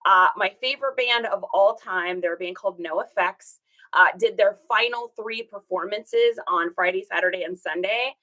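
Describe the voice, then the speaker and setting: A woman with a slightly hoarse, rough voice, hosting a casual livestream and chatting upbeat with viewers, greeting them by name. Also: medium pitch, moderate pace, pushed and bright, rough recording. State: hoarse from singing. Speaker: reseller livestream host.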